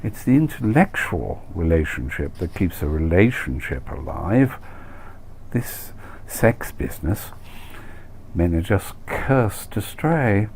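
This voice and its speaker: A man's voice: low-pitched, very animated, slow pace, gravelly, darkish tone, slight background noise, full character voice. A man voicing a chatty, nosy middle-aged expat wife who dispenses worldly, condescending advice in a comic story reading. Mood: blasé, advising. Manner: gossipy, cliché-laden, condescending advice-giving. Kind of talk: acted dialogue in comic short story reading.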